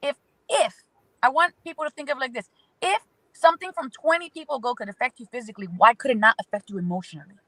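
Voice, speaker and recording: A woman with a bright, slightly high voice offers spiritual guidance as an astrology guest. She speaks emphatically and repeats phrases in rhythmic lists, heard close and dry. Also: fast, no room sound, poor audio. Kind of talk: spiritual advice in conversation.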